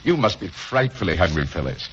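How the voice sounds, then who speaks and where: A man's voice: sits low, sing-song, a little fast, a little hiss or hum. An actor playing a kindly, teasing older male dean in a 1940s radio drama, with a genial, chuckling manner. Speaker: dean and counselor. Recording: dull lo-fi 1940s radio recording.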